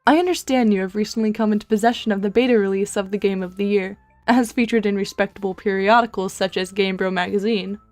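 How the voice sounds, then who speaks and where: A woman's voice: mid-range voice, steady tone, fast, hardly any pauses, no echo, soft background music, close to an everyday voice. A woman playing a wry, articulate teenage girl in a comic dub, speaking with precise, formal dryness. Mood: dry, teasing. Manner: precise, formal, dry wording.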